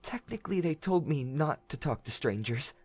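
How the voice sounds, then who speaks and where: A woman playing a gentle, sheltered young forest man, shy and earnest, in an audio drama. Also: low voice, expressive, moderate pace, breathy edge, no room sound, faint music behind. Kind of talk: fan audio drama acting.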